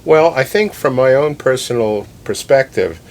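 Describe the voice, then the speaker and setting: A man's voice: expressive, moderate pace. A man answering interview questions conversationally, explaining his points and repeating phrases for emphasis. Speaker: loudspeaker company president, interview guest. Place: listening room in a home. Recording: clear background noise with low rumble.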